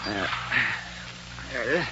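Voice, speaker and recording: An actor playing an eager, brash heroic space captain with an American accent in 1930s radio serial style. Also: male voice, unhurried, natural voice. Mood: eager, impatient. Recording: muffled, noisy 1930s radio recording.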